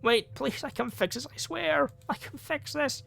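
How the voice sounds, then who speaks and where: A man's voice: very high-pitched, moderate pace, vocal fry, fairly bright tone, soft background music, pitched above the performer's own voice, heavily acted. A man playing a guilt-ridden, desperate teenage friend in a visual novel game, earnest and pleading.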